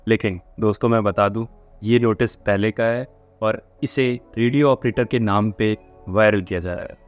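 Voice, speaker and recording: A man's voice: fairly low pitch, steady tone, normal speed, faint music behind. A man hosts an informal explainer for exam candidates, mixing in English words as he talks. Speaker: host of a job updates channel.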